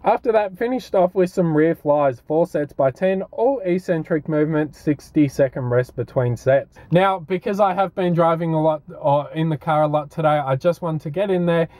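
Higher-pitched young Australian man vlogging. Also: normal speed, few pauses, a little mellow, dry recording. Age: 20s. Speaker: fitness vlogger. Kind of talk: casual vlog chat and workout commentary.

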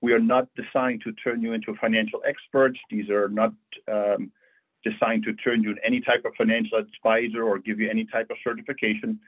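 A man's voice: lowish voice, little pitch movement, fairly quick, slightly rough. A man from the Netherlands, presenting a friendly banking webinar with lots of fillers over a video call. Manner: friendly, conversational with frequent fillers. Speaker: bank financial educator. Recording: tinny phone-like audio.

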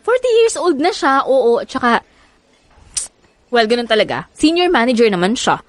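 Anxious young single mom, Filipino radio drama; high, very expressive voice, dry studio sound. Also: female voice, moderate pace, no room sound. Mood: hesitant.

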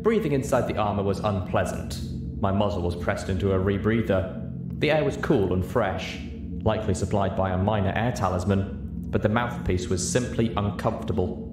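Male audiobook narrator, lowish voice, scripted first-person storytelling over clear music. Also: normal speed. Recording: roomy sound.

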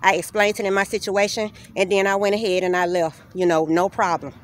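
A woman narrating a walking vlog on city streets, filming on her phone, telling her story in an earnest, steady way. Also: medium pitch, a little fast. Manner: earnest, steady, recounting grievances. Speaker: vlogger. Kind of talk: walking tour vlog, personal account. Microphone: phone camera held while walking. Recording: faint street noise outdoors.

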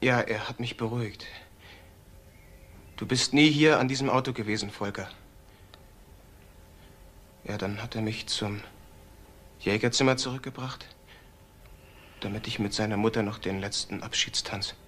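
An actor playing a distraught, guilt-ridden man in a crime drama, speaking haltingly and earnestly. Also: on the low side, fairly bright tone, lightly acted. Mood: subdued confession. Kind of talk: German crime drama acting.

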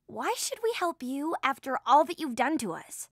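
A woman playing a stern, skeptical and fierce teenage warrior princess in an animated series. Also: high-pitched, normal speed. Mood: grudging.

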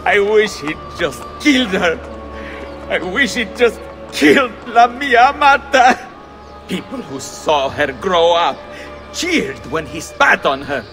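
A man's voice: high-pitched, big pitch swings, slow pace, a bit bright, soft background music, full character voice. An actor playing a grieving, bitter middle-aged Roman man in a video game cutscene. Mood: anguished.

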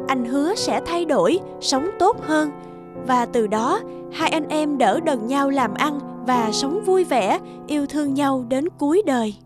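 A woman's voice: sits high, lively intonation, a little slow, over music. A woman narrator reading a scripted fairy tale for a cartoon.

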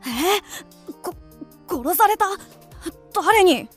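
A woman performs a naive fifteen-year-old boy in a radio drama. The voice is very high and swings widely, at a slow pace, with music behind. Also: lively intonation, exaggerated voice. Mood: startled, curious.

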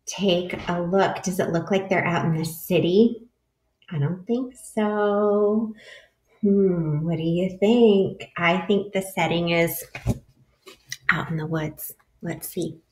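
A woman in her forties, a teacher reading a children's picture book aloud to kids from her kitchen over a webcam, voicing the animals with lively turns. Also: on the low side, slow. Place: home kitchen, indoors. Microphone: webcam at arm's length. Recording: roomy echo.

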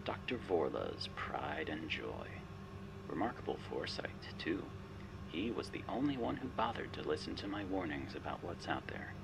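Man playing a deep, gravelly, smug machine mastermind. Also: very animated, normal speed, noisy background, full character voice. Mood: cautioning, proud. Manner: wry, teasing, theatrical, often dropping to a whisper. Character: smug, menacing, playful artificial intelligence guiding a spy. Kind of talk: ASMR roleplay monologue.

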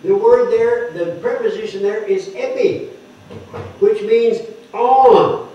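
An older man preaching at a lectern, explaining scripture word by word and stressing key words loudly. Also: sits high, takes it slowly, distant mic. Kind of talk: sermon teaching scripture. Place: wood-paneled church hall, speaking at a lectern. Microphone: microphone on the lectern, heard from a distant camera. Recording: echoey hall heard from afar, dull.

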